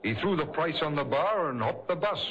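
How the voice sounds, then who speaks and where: An actor playing a confident tavern landlord in a vintage radio drama, talking in clipped colloquial phrases. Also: male voice, fairly high pitch, expressive, brisk, close to an everyday voice. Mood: sure, helpful. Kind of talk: 1950s radio drama acting. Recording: muffled vintage radio, crowd behind.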